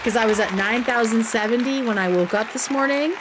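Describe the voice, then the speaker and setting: A woman's voice: fairly high pitch, fairly quick, a crowd in the background, background noise. A woman hosting a livestream, chatting casually and informally with viewers, with some swearing. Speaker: livestream host. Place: indoors at home.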